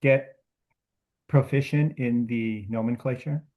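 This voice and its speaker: Webinar moderator, man, halting delivery, reads out questions. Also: medium pitch, fairly even intonation, a little mellow. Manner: halting, with many restarts and fillers. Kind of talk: hosting a webinar Q&A.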